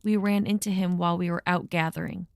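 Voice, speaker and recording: A woman's voice: lowish voice, fairly even intonation, a little fast, creaky, smooth, dry recording. Woman playing a mischievous young villager, dry close sound. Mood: hesitant, covering up. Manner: playful, conspiratorial. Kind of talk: acted audio drama dialogue.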